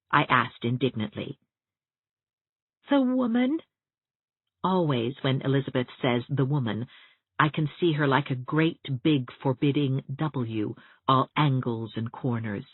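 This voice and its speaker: Husky, lowish-voiced woman narrating a story. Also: no echo. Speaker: audiobook narrator. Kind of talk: expressive scripted first-person storybook narration. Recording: narrow phone-like sound, very dry.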